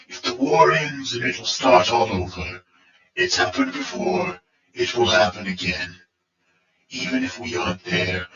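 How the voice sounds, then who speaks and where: A man's voice: sits low, a bit bright, voice pushed down, heavily acted. A man voicing an evil, bitter robot warlord in an audio drama, with a commanding, brooding, theatrical delivery. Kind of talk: fan audio drama acting. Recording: echoey, muffled, distant from the mic.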